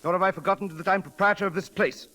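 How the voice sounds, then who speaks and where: Proper British manservant, bright higher voice. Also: male voice, steady tone. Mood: indignant, formal. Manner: polite, halting, formal. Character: proper, deferential British manservant turned restaurant owner. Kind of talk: 1930s radio acting. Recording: vintage radio broadcast recording.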